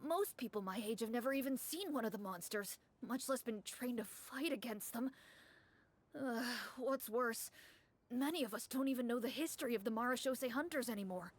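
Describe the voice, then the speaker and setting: A woman's voice: on the high side, normal speed, natural voice. A woman voicing an anxious, grateful townsman in a game, speaking in a flustered, earnest and theatrical way. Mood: earnest worry.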